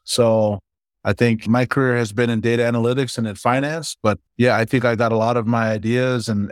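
A man in his forties from Hawaii, a startup founder chatting casually and warmly about his life as an interview guest. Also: medium pitch, no room sound, clean studio sound.

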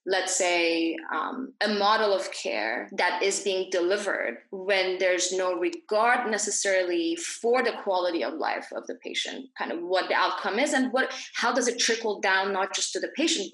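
A woman from Iran who grew up in Dubai, speaking reflectively and haltingly as an interview guest, often rephrasing herself. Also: on the low side, fairly bright tone. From born in Iran, grew up in Dubai. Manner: halting, frequent pauses and self-corrections. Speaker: podcast guest, naturopathic doctor. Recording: echoey room.

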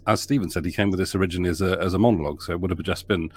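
Male producer, deep low voice, casual interview guest, rambling, filler-heavy, light background noise. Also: fairly even intonation, very fast. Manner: rambling with frequent fillers and restarts. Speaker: audio drama producer.

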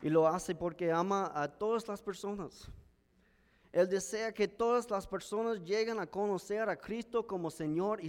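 Man, pastor, American accent, English native speaker, careful measured sermon, slightly high voice, long church reverb. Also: darkish tone. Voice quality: careful, measured delivery of a learned language. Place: church sanctuary.

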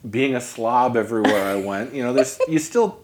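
A man's voice: mid-range voice, moderate pace. Chatty man hosting a podcast. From New York. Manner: chatty, joking asides. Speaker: podcast host. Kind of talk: conversational interview.

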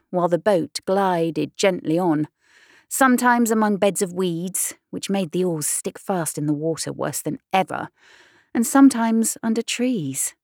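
A woman reading a children's classic aloud as animated storybook narration. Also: mid-range voice, dry recording, studio quality. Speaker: audiobook reader.

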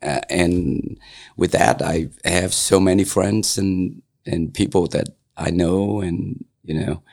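A man in his sixties from Vietnam, speaking English with a Vietnamese accent in a casual interview, halting and full of fillers and restarts. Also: deep voice, steady tone, vocal fry, a little mellow. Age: 60s. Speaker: interviewee, local business owner. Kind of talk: casual oral-history interview.

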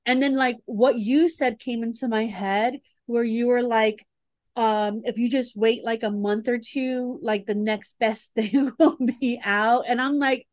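A woman's voice: on the high side, fairly even intonation, unhurried, dry recording. A woman in her forties co-hosting a podcast, chatting casually and often searching for her words. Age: 40s. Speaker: podcast co-host. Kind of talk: casual chat.

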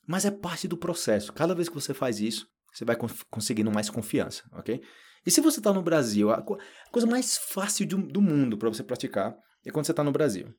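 A man from Brazil with a Brazilian Portuguese accent, hosting a casual podcast lesson. He talks in a chatty way, fills his talk with little fillers and acts out quoted people in a higher voice. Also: big pitch swings, a little fast, darkish tone, breathy edge. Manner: lots of fillers like "tipo" and "né". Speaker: Portuguese teacher, podcast host.

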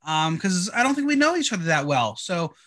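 A man's voice: high-pitched, sing-song, fairly quick, a bit bright. A man hosting a podcast, chatting in an upbeat, casual way with small restarts. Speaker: podcast host. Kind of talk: casual interview. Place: home in New York.

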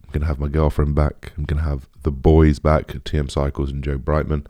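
A young British man with a deep, raspy voice, chatting casually as a podcast host in a rambling, informal way. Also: flat delivery, brisk, mellow, no echo. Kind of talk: casual solo podcast chat.

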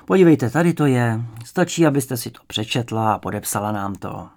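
A man's voice: medium pitch, animated, a little mellow, close to an everyday voice. Man voicing an old, falsely kindly interrogator. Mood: coaxing. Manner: obliging, persuasive, wheedling. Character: old male good-cop interrogator, falsely kindly, coaxing.

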